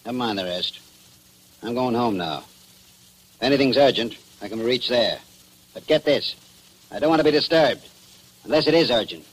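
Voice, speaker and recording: Gruff American police chief, played by a man, noisy old recording. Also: medium pitch, animated, normal speed, pauses often, close to an everyday voice. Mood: stern, businesslike. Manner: gruff, commanding, clipped. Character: tough, curt small-town police chief giving orders. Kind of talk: 1940s American radio drama acting.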